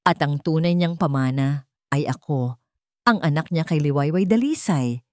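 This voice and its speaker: A woman's voice: low voice, lively intonation, moderate pace, darkish tone, no room sound, clean studio sound. A Filipino woman voicing a wronged, resolute young heiress in a dramatic story, with firm, emphatic declarations. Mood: bitter, angry. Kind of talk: dramatic storybook character reading.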